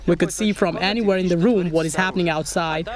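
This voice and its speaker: A man's voice: high-pitched, steady tone, fast talker, a crowd in the background, low-quality recording. A young man explaining a building project step by step in a casual outdoor vlog in a forest. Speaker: vlogger building a treehouse.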